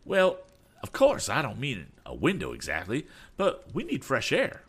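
A fussy, excitable older gentleman from an adventure storybook, acted out by a male reader. His voice is pushed high and swoops about as he frets and exclaims. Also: a little slow, breathy edge, heavily acted. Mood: uneasy. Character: fretful, excitable older man aboard a submarine.

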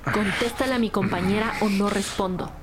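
An actress playing an authoritative, protective senior police detective in a crime audio drama, with a brisk way of talking. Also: female voice, mid-range voice, fairly even intonation, heavy background noise, natural voice. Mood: hard, demanding. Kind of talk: scripted crime drama acting. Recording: studio drama with music underneath.

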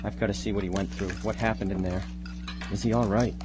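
A man's voice: fairly low pitch, steady tone, quick pace, lightly acted. Man acting an anxious teenage boy, noisy recording. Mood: suspicious. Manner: pleading, questioning, fretful. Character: anxious, doubting teenage boy resisting a sinister ritual. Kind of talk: live amateur radio drama acting.

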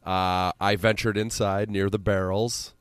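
Guy w central illinois accent doing sports podcast banter, exasperated n sweary, deep-ish voice, real dry close sound. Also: flat delivery, measured pace, fairly bright tone, clear, resonant tone, dry recording.

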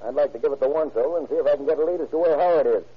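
A man's voice: fairly high pitch, very fast, husky. An actor playing a driven, impatient male police lieutenant in an old radio crime drama. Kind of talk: 1940s radio acting. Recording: dull old radio recording with music.

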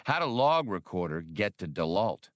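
A man voicing a portly, seasoned adventurer in an audiobook, steady and gruffly matter-of-fact. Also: deep voice, moderate pace, dry recording, studio quality. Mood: grim recounting.

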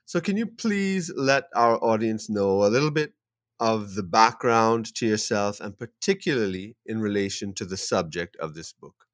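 A man's voice: lowish voice, animated, normal speed, no echo. Hesitant male podcast host, dry sound. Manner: hesitant, many fillers and restarts. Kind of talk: interview podcast.

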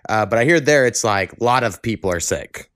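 A man's voice: on the low side, expressive, brisk, fairly bright tone, no room sound, studio quality. An American man hosting a podcast in casual chat, with an informal, rambling, laid-back manner. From Oklahoma, United States. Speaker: podcast host.

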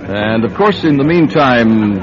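A man's voice: medium pitch, animated, unhurried, a crowd in the background, close to an everyday voice. A man playing a courteous but firm casino manager in an old-time radio drama, hesitant and insinuating in manner. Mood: polite, firm. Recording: muffled, noisy old radio recording.

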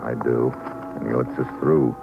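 Very deep-voiced hard-boiled American agent. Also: male voice, steady tone, normal speed, vocal fry, over music. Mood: urgent, tense. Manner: terse, sardonic, slangy. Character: wry, hard-boiled American agent, sweating but defiant. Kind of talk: old-time radio thriller, first-person narration and dialogue. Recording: muffled, noisy vintage radio recording.

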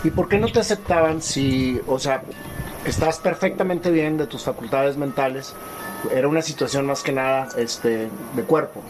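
A man's voice: medium pitch, moderate pace, over music, poor audio. A man, hosting an interview in a casual, conversational style. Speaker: interview host.

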